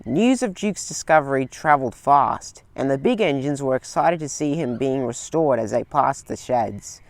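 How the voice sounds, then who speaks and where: A man narrating a railway storybook as a scripted read, in a slow, gentle storytelling manner. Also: medium pitch, no echo.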